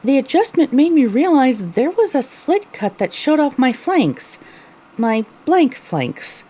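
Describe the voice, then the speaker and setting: A woman voices a young pony mare telling her own story in a scripted audio drama. Her voice is soft and husky with a mellow tone, wistful and animated in its melody. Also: high voice, takes it slowly, exaggerated voice. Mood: descriptive. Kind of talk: first-person scripted narration, audio drama.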